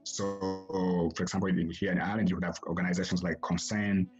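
A man from Tanzania, answering podcast interview questions as an academic guest in a halting way, often repeating words as he starts a phrase. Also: sits low, flat delivery, darkish tone, muffled recording, faint music behind.

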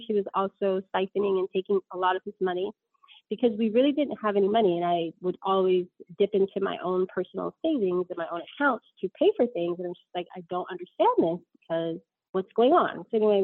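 A woman's voice: medium pitch, no room sound, phone-quality sound. A woman in her thirties telling her story as an interview guest, rambling with many ums and self-corrections.

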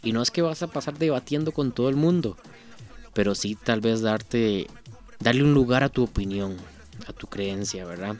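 A man's voice: medium pitch, normal speed, darkish tone, soft background music. A Costa Rican man reading out song lyrics and commenting on them in a casual, earnest way, thinking aloud with frequent restarts. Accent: Costa Rican Spanish. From Costa Rica. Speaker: reaction video host.

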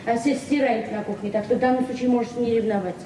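On a noisy, echoey old radio play recording, an actress plays a proud small-town librarian in her forties. Her high voice moves quickly, plain-spoken and frank, with the earnest grievance of a hard-pressed woman. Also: fairly even intonation, dark tone, recorded from a distance, close to an everyday voice. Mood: weary, curt. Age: 40s. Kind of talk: Soviet 1970s radio drama acting.

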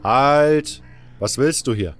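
A man's voice: mid-range voice, slow pace, soft background music, pitched above the performer's own voice, lightly acted. A man voicing a stern, bossy mine guard with a brusque, commanding manner in an audiobook. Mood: challenging. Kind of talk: audiobook character voice.

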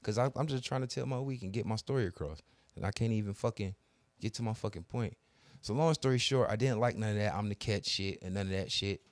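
A man's voice: lowish voice, quick pace, no room sound. A young man telling a long, profane story in casual podcast chat, acting out both sides of the arguments. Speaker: podcast co-host.

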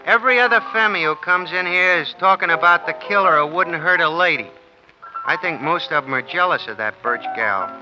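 Muffled vintage radio, male actor as gossipy bartender, high voice, folksy, ungrammatical, comic relief. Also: lively intonation, moderate pace, music in the background, natural voice. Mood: chatty. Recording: muffled old radio recording.

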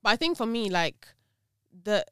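Reflective woman in her twenties, smooth voice. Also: mid-range voice, sing-song, moderate pace, pushed and bright, no echo, studio quality. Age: 20s. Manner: reflective, lots of "like" and "do you know what I mean". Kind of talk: casual chat.

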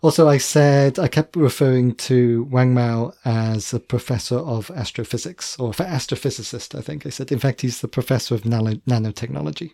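Podcast co-host, male, gravelly, dry close sound, chatty and self-correcting. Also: mid-range voice, brisk, hardly any pauses, dark tone, no room sound. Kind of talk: casual chat.

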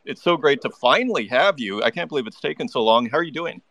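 A man's voice: medium pitch, expressive, fast, a bit bright, slight background noise. A man hosting a live online audio interview, chatty and enthusiastic, often restarting his sentences. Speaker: podcast host.

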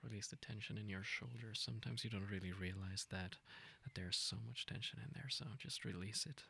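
Low, gravelly man guiding a meditation. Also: steady tone. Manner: gentle, unhurried, informal with self-corrections. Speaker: meditation guide, podcast host. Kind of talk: guided meditation, soft intimate talk.

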